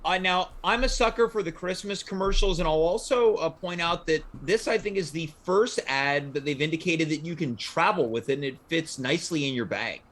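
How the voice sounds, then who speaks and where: A man's voice: a little high, normal speed, few pauses, fairly bright tone, slight background noise. A man hosting a talk show in casual, conversational chat.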